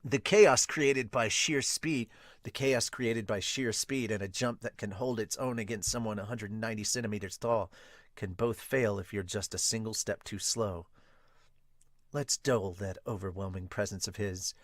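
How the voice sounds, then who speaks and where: A man voicing a cool, calculating young volleyball strategist in a manga dub, quiet and measured. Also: lowish voice, no echo, clean studio sound, voice pushed down, close to an everyday voice.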